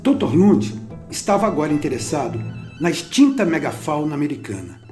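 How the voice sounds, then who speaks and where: A man narrating a documentary from a script in a measured, even storytelling manner. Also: a little high. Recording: roomy narration over soft instrumental music.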